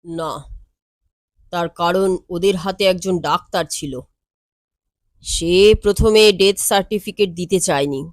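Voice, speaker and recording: A knowing, world-weary lawyer from a storybook, read by a woman. He talks slowly and matter-of-factly with a wry edge, in a dry, close recording. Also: fairly low pitch, no room sound, close to an everyday voice. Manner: measured, matter-of-fact, wry. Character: composed, knowing male lawyer, world-weary elder brother figure.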